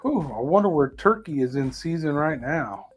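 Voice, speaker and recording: Husky co-host with lively pitch. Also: moderate pace, faint music behind. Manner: short reactions and agreeing interjections. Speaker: livestream co-host. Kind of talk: casual livestream chat.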